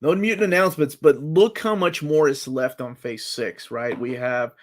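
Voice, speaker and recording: A man in his forties hosting a casual live chat, talking excitedly like a fan as he reads and answers comments. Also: medium pitch, normal speed. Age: 40s. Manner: excitable fan, jumps between comments and guesses.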